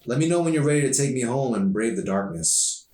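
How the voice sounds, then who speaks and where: A man's voice: medium pitch, animated, fairly quick, a little mellow, pitched above the performer's own voice, close to an everyday voice. A man voicing a sarcastic, teasing, spirited teenage girl from a novel, in an echoey recording. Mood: annoyed, sarcastic. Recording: echoey room, distant mic.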